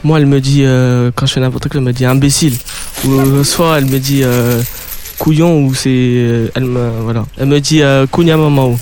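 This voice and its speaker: Teen boy, mid pitch, halting, faint background noise. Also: steady tone, a little mellow. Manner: hesitant, many fillers.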